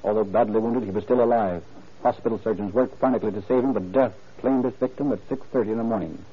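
A man's voice: lowish voice, fast talker, a little hiss or hum, lightly acted. A man playing a solemn, formal narrator of an old-time radio crime drama, with dramatic scripted delivery. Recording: dull old radio recording. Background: music.

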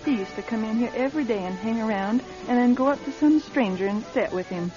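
Chatty, high-voiced diner waitress, played by a woman. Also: fairly quick, natural voice. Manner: chatty, folksy. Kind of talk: radio drama acting. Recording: dull radio recording, music behind.

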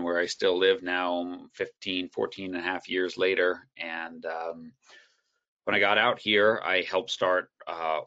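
A man guest on a video call, telling his coaching story in a relaxed, rambling way with many fillers and restarts. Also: low voice. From United States. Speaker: guest speaker, soccer coach educator. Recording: dull, lo-fi call audio.

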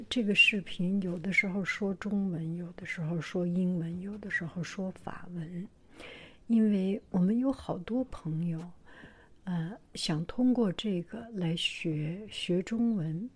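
Slow, breathy whispering woman. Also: on the low side. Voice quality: soft whisper. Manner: gentle, hesitant, many pauses and fillers. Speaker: channel host. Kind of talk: whispered vlog talk to viewers.

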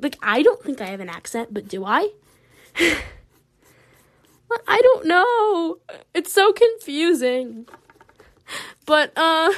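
Young woman, Southern American accent, rambling, giggly, fairly high voice, casual solo podcast. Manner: rambling, giggly, animated, lots of fillers. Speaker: podcast host. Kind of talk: casual solo chat while drawing.

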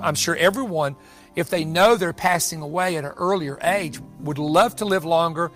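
A man's voice: high-pitched, a bit bright. A man preaching an evangelical prophecy sermon in an emphatic, exhorting way, repeating phrases for weight. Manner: emphatic, repetitive, exhorting delivery. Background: background music.

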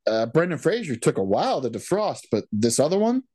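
A man's voice: a little high, sing-song, brisk, mellow. A man co-hosting a podcast, recapping a movie in casual chat with lots of restarts. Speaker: podcast co-host.

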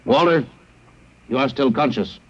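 An actor playing a coldly logical alien interrogator in a radio drama, speaking in stilted, formal, emotionless phrases. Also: male voice, medium pitch, unhurried, breathy edge. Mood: clinical, insistent. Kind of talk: 1950s radio drama acting. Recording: muffled vintage radio recording.

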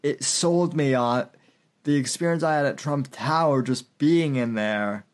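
Young adult male podcast host, average pitch, informal storyteller with a stop-start, repetitive delivery. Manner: halting, repetitive, filler-heavy delivery. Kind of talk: casual conversational anecdote.

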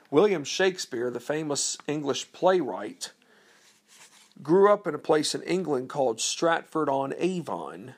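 A man with a slightly high voice talks through a history lesson off the cuff. He hesitates often, filling gaps with ums and uhs. He poses questions to his listeners and then answers them. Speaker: history presenter. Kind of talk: unscripted explanatory talk.

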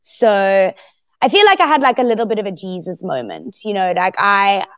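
A woman guest on a podcast interview, telling her story expressively and loudly, with laughs here and there. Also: medium pitch, expressive, moderate pace. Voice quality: very loud voice. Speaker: guest, eliminated contestant.

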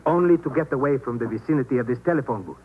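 An actor playing a polite, menacing saboteur in 1950s radio thriller style, speaking formally and precisely with cool threat. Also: male voice, mid-range voice, very fast, lightly acted. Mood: cool, controlled threat. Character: polite, menacing male saboteur with a briefcase bomb. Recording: dull, noisy old radio recording.

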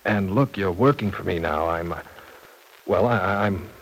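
A man's voice: deep voice, moderate pace. A man playing a genial, courteous university professor in a classic radio drama, stammering apologetically and slightly flustered. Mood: apologetic, playful. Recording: noisy old radio recording.

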